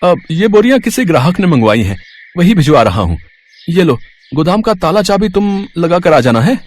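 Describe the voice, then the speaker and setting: A man acts out a scheming shop worker in a storybook tale. The voice is pushed well up in pitch, with an insinuating, accusing manner. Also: very animated, moderate pace. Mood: evasive.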